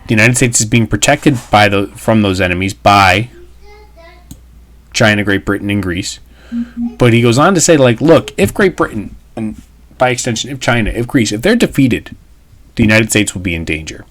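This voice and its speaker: A man's voice: lowish voice, expressive, normal speed, fairly bright tone. A man speaking as a teacher, reading a text aloud and explaining it in an informal, emphatic way. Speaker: history teacher. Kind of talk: read-aloud lesson with explanations.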